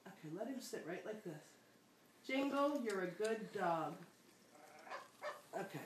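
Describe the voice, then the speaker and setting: A woman's voice: mid-range voice, lively intonation, a little slow. Woman coaching in an echoey room. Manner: instructing, prompting line by line. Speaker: adult coaching a child reader. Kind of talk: casual family talk and prompting. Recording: echoey room, faint background noise.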